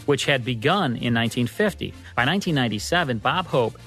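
A man's voice: medium pitch, lively intonation, fast talker, sharp tone, faint music behind, rough recording. A man co-hosting a radio program, reading scripted history narration in a presenter's style. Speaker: radio co-host.

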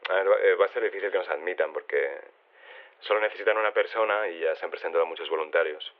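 An actor playing an affable phone-in guest in an audio drama, chatty with hesitant starts and an accent from Spain. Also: male voice, low-pitched, fast, close to an everyday voice. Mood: chatty, agreeable. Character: affable body-swapped male radio phone-in guest. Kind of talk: conversational fiction interview. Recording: tinny narrow telephone line.

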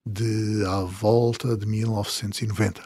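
A man in his fifties from the Azores speaks with a European Portuguese accent and a fairly low voice. He tells his travel stories at an easy pace, chuckling now and then, and his sentences often fade off quietly. The recording is dry and close, with no room sound. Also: level tone, moderate pace, no room sound. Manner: storytelling, chuckling, trailing off quietly. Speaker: traveller guest. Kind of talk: relaxed interview conversation.

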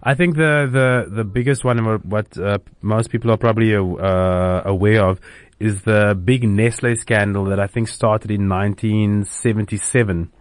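A man's voice: lowish voice, steady tone, moderate pace, clear, resonant tone, no room sound. A man hosting a radio interview, speaking hesitantly with many fillers. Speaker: radio host. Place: radio studio.

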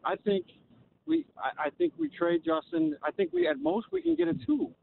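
A man's voice: very high-pitched, animated, moderate pace, vocal fry. A man calling a sports radio show on a dull, slightly noisy phone line, arguing his opinion casually and repeating phrases.

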